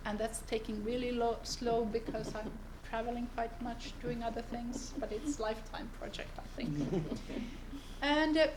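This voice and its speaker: A woman from northern Finland giving a seminar presentation, unhurried and pausing often to search for her words. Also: fairly high pitch, steady tone, very noisy. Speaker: seminar speaker, lawyer. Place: seminar room.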